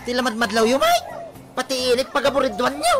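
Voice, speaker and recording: A man's voice: very high voice, wildly swooping pitch, fairly quick, heavily acted. A man playing a lazy husband who grumbles at being disturbed, in a radio comedy drama. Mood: irritated grumbling. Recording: music under radio drama dialogue.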